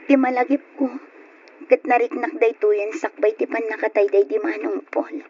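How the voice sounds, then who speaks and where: A woman's voice: a little high, darkish tone, slight background noise. An actress playing a frightened, fragile young woman who is ill, plaintive and melodramatic, in an old Ilocano radio drama. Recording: dull, muffled old radio drama recording.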